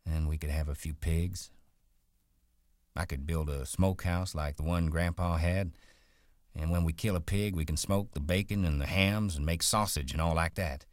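A man voicing a ranch worker who talks in a rustic drawl, brisk and rolling. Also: low-pitched, no room sound, studio quality, lightly acted. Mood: dreamy. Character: wiry male ranch worker, guarded and dreaming.

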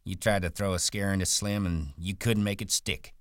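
A man's voice: sits low, fairly even intonation, normal speed, dry recording, studio quality, voice pushed up, lightly acted. A man voicing a jeering, fearless ranch hand with taunting rural speech in a story reading.

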